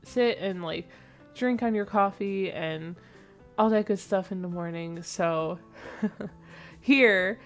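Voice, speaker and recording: A young woman talks through her build in a relaxed, rambling voice-over, with plenty of fillers and small asides. Her voice is mid-pitched and a touch nasal, and she sounds a bit sleepy. Faint music plays underneath. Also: slow, pauses often. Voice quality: slightly nasal. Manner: chatty, rambling, with frequent fillers and small laughs. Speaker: Sims builder and channel host. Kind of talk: casual voice-over commentary on a speed build.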